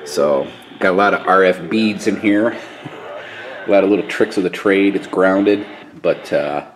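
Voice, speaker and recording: Deep-voiced American man, casual demo. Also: unhurried, rough recording. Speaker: DIY video host. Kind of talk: casual hands-on demonstration. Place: small cluttered garage workshop. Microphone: camera microphone at arm's length. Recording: handheld camera, clear background noise.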